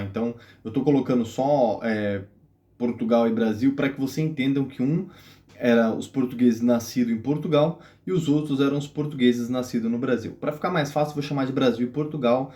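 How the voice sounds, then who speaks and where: A man's voice: medium pitch, darkish tone. A man from Brazil hosting a casual explainer video, teasing and joking as he talks. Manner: joking, teasing, full of fillers and restarts. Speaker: video host.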